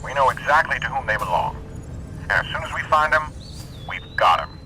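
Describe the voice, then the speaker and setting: A man playing a brisk, authoritative police sergeant in an amateur radio drama, forceful and businesslike. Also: medium pitch, sing-song, soft background music, heavily acted, low-quality recording. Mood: brisk, urgent.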